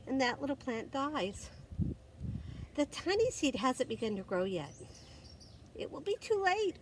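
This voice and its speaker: A woman reads a children's story aloud in a lively, swooping voice. She takes her time, stretching phrases and pausing for dramatic effect. A little background noise sits behind her. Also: high-pitched. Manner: expressive, dramatic pauses, gasps and exclamations for effect. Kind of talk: animated read-aloud for young children.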